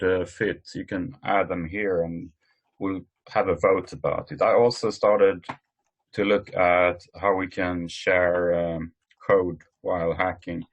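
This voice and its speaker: A man presenting informally in an online work meeting, speaking hesitantly with frequent pauses. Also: low-pitched, highs cut off. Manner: hesitant, halting delivery with many pauses. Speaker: meeting host presenting tools. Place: online conference call.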